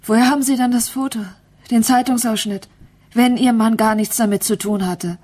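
An actress playing a grieving, resigned mother in a German radio crime play, speaking in a subdued, halting way. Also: on the high side, fairly even intonation, a little mellow, close to an everyday voice. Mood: puzzled. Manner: subdued, halting, often near whisper. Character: grieving, resigned mother who lost her child.

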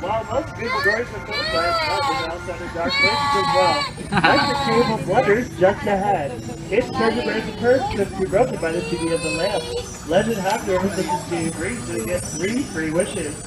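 A man's voice: high-pitched, moderate pace, loud music behind the voice. A man guiding an outdoor boat ride, telling fairy-tale stories in a scripted storybook spiel with playful asides. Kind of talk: scripted tour narration.

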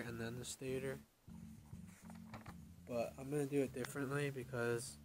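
A man's voice: mid-range voice, poor audio. A man giving casual, plain-spoken how-to narration while he rebuilds an engine in his home garage, thinking aloud as he goes. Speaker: DIY motorcycle mechanic. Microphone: head-mounted action camera. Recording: music clearly audible under the voice.

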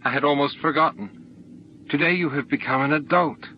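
A man's voice: on the high side, fairly quick, voice pushed up, natural voice. A man voicing an honorable, troubled male alien in an audiobook, earnest and formal in his speech. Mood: excited. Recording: dull noisy audiobook recording.

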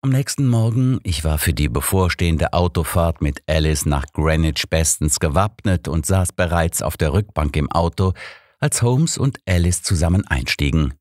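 Male audiobook narrator, low register, scripted first-person storytelling, dry close studio sound. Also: animated, moderate pace, a little mellow, dry recording.

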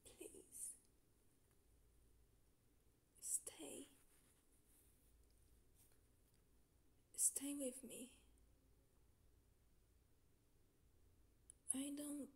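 A woman playing a nervous, clingy young woman in an intimate ASMR roleplay, halting and flustered, in a breathy, often whispery voice. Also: high-pitched, mellow. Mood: pleading. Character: nervous, clingy young woman confessing love to her friend. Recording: faint background noise.

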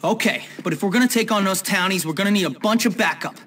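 An actor playing an embittered, combative expelled schoolboy in a video game, blunt and sarcastic, with an American accent. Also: male voice, high-pitched, quick pace, fairly bright tone, a little hiss or hum. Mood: frustrated, dejected. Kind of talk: video game cutscene acting.

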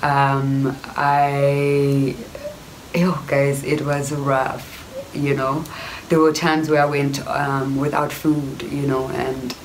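Young South African woman, very deep flat voice, reflective storytelling to a close camera. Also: a little hiss or hum, rough recording. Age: young adult. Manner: reflective, frequent fillers and "you know". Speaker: actress. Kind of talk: casual personal storytelling to camera. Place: indoor room before wooden cupboards. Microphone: camera at close range.

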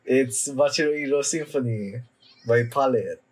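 A young man giving casual, off-the-cuff reaction commentary in a halting way, trailing off mid-thought. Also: mid-range voice, breathy edge, low-quality recording. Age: young adult. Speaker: anime reaction vlogger. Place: small home room, indoors.

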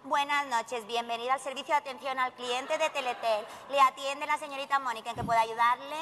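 Woman as phone operator, flippant, bright, high voice. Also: fairly even intonation, brisk, a little hiss or hum. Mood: polite routine. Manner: cheeky, flippant. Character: unhelpful sarcastic female phone operator. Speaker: customer service operator. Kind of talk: comic sketch audio.

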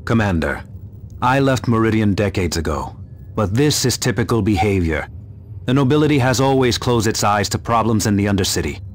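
An actor playing a seasoned space marine officer with a dry, cynical manner in a video game. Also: male voice, on the low side, animated, a little fast, slight background noise, close to an everyday voice. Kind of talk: scripted video game dialogue.

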